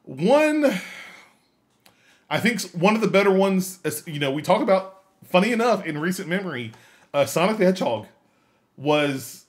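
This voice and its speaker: A man co-hosting a podcast, chatting casually and haltingly, often trailing off as he thinks. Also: high voice, very animated. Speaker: podcast co-host. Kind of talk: casual chat.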